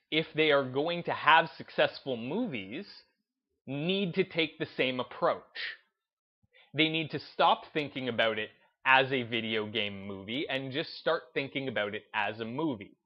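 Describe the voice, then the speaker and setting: A man hosting a gaming video essay, speaking in an emphatic, deliberate, unscripted way and repeating phrases to drive his points home. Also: mid-range voice, lively intonation, lo-fi sound. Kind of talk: opinionated commentary, unscripted talk to listeners.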